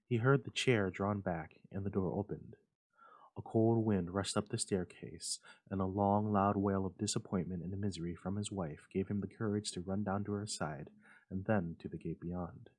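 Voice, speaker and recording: A man's voice: low-pitched, steady tone, moderate pace, darkish tone. A man reading a classic horror story aloud as a suspenseful, theatrical narrator. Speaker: library staff storyteller. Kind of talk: dramatic scripted story narration.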